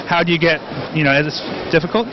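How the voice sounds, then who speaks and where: A man's voice: a little high, fast. A man working as an interviewer, putting casual questions on location at a convention booth. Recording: dull sound, clear convention floor noise.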